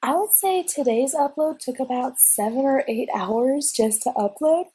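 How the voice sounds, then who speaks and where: Young woman gamer, slightly high and husky, stuffy from a cold, chatty, unscripted home recording. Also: normal speed, mellow. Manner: rambling, chatty, frequent ums and restarts. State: recovering from a cold, sniffly. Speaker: let's play gamer. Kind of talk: casual gameplay commentary. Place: at home at a desk.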